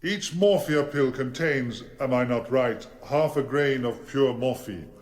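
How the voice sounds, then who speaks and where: An actor playing a formidable, sardonic defence barrister, questioning insistently in a radio courtroom drama. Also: male voice, mid-range voice, unhurried, natural voice. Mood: stern, probing. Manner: insistent, probing cross-examination. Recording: roomy, echoing courtroom-like sound.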